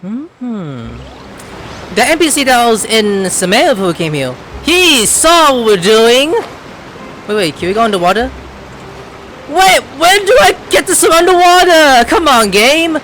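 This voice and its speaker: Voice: mid-range voice, big pitch swings, unhurried, a bit bright, slight background noise. A gamer giving casual, chatty commentary, muttering along to the game and its characters. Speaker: game streamer.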